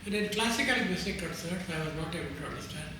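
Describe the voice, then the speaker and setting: A man's voice: a little high, lively intonation. Older Indian man, echoey distant mic. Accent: Indian English. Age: 80s. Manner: halting, with frequent restarts and pauses. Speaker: interviewee, translator. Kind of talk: recorded interview, reminiscing. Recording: echoey room, far from mic, noisy.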